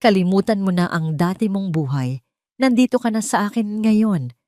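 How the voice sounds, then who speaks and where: A woman voicing a wealthy, protective, quietly controlling man, speaking calmly and with assurance in an audio drama. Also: medium pitch, normal speed, dry recording, clean studio sound, lightly acted. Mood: soothing, controlling.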